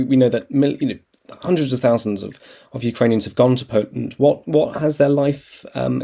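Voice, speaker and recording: A male podcast host with a gravelly, creaky edge to a mellow, dark voice. He asks long questions hesitantly, repeating words as he feels his way through. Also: mid-range voice. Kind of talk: interview questions.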